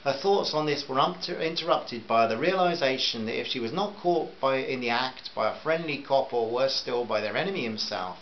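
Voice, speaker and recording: A man's voice: mid-range voice, animated, normal speed, few pauses, lo-fi sound, a little hiss or hum. A man reading his own novel aloud as a serialized audiobook, in a plain storytelling manner. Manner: plain storytelling, warm sign-off to listeners.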